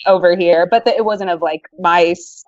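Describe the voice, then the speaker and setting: A woman's voice: lowish voice, normal speed, dull audio, crowd noise. A woman talking casually in a group podcast chat.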